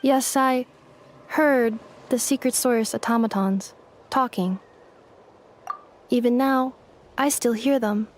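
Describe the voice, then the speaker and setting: A woman playing a polite, literal-minded robot helper in a video game, formal, clipped and deadpan. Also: fairly high pitch, takes it slowly, pauses often, background noise. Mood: matter-of-fact.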